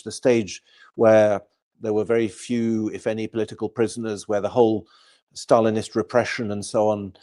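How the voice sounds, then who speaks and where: A man hosting an online interview show, introducing his guest in a measured way with frequent filler sounds. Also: medium pitch, dark tone. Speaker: host.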